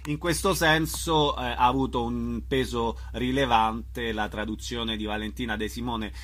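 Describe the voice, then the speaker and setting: A man interviewing a guest in a conversational way, forming his questions haltingly. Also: medium pitch, fairly even intonation, a bit bright. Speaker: radio interviewer.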